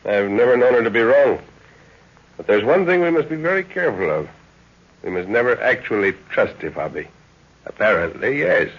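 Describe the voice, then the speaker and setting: An actor playing a shrewd, genial explorer and father in a 1930s radio drama, speaking with measured authority. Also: medium pitch, very animated, breathy edge. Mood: cautious, shrewd. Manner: measured, hesitant pauses, authoritative. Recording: muffled old 1930s radio recording.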